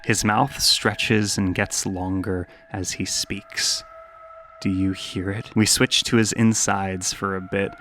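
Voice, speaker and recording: A man narrating a scripted video essay, with casual asides and an expressive storytelling manner. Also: deep voice, takes it slowly, soft background music.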